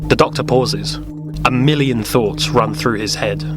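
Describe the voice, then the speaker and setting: A man's voice: medium pitch, a little slow, over music. A man giving scripted narration for an audio drama parody.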